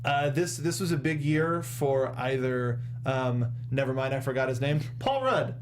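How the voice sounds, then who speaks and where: A young man co-hosting a show in casual chat, jokey and a bit halting, talking close to a studio mic. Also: mid-range voice, expressive, a little hiss or hum. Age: young adult. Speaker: co-host of a movie discussion show. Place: small indoor podcast studio. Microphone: close desk microphone with red foam cover.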